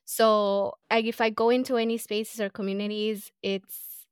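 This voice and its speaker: A woman entrepreneur speaking as a podcast guest, earnest and reflective, often pausing on fillers. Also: mid-range voice, level tone, clean tone, no room sound, clean studio sound. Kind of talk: casual interview conversation.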